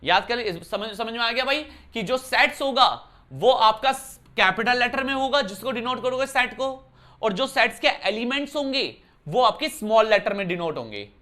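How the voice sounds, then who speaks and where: A man from Uttarakhand teaching a maths lesson indoors in a chatty style, often checking with his students whether it is clear. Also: very high-pitched, animated, normal speed, bright, forceful tone. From Uttarakhand, India. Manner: conversational, keeps asking "clear, brother?". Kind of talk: classroom lesson explanation. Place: indoor room.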